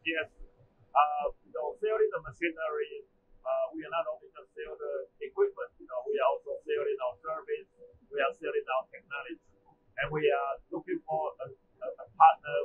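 Man, 40s, hesitant with fillers, tinny phone-like sound. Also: on the high side. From Taiwan. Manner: hesitant, frequent "uh" fillers, non-native phrasing. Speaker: machinery company manager. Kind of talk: testimonial interview answers. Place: trade show booth backdrop, indoors. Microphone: lapel mic. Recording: phone-like narrow band, faint noise.

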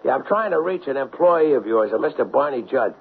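An actor playing a hard-nosed, harried police sergeant in a radio drama, blunt and urgent. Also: male voice, mid-range voice, animated, a little fast, close to an everyday voice. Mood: urgent, exasperated. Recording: dull, noisy old radio broadcast.

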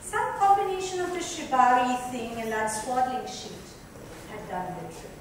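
A woman's voice: sits high, moderate pace. A woman performing a dramatic reading from a book in an indoor lounge. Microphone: far microphone. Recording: distant mic, very echoey noisy room.

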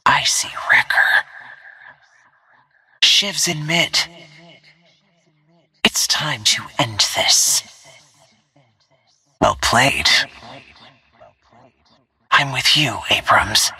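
A woman playing a stealthy, cool-headed assassin in a video game, giving terse callouts in a hushed, husky, breathy voice. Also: lively intonation, drawn-out words, pauses often, in a whisper, full character voice. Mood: hushed, focused. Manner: terse, clipped phrases in a low-key, controlled delivery. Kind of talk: short in-game callout lines.

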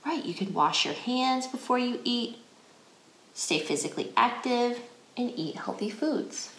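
Slow, lively American woman reading to kids. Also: on the high side. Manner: deliberate, clearly phrased, asks questions. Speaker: educator reading a picture book aloud. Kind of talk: guided read-aloud for children. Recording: roomy indoor echo.

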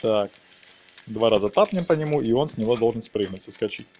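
Male gaming host, average pitch, informal and playful running commentary, music clearly playing behind. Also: expressive, fairly quick, a little mellow, dull audio. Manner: playful, chatty, talks to the game characters. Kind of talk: casual game walkthrough commentary.

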